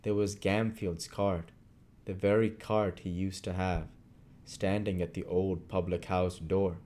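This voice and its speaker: A man reading a classic novel aloud as narrator, in deliberate phrases with dramatic emphasis. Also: deep voice, level tone, a little mellow, a little hiss or hum. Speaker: audiobook reader.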